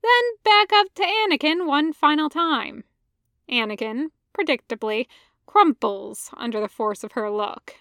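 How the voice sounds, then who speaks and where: A woman reading a story aloud in an expressive audiobook narration style. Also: high voice, measured pace, no echo, clean studio sound. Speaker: podfic narrator.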